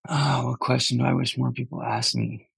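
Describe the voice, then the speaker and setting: A man's voice: medium pitch, wildly swooping pitch, moderate pace, vocal fry, fairly bright tone, slightly rough, dry recording. A man giving candid, conversational answers as a podcast guest, talking bluntly and swearing casually. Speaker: podcast guest, cannabis entrepreneur.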